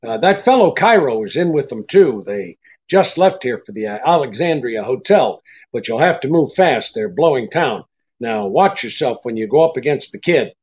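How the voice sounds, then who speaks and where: An actor playing a tough, shrewd private eye in old-time radio drama style, clipped and hard-boiled. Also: male voice, mid-range voice, animated, normal speed, a little mellow, muffled recording, natural voice. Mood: businesslike.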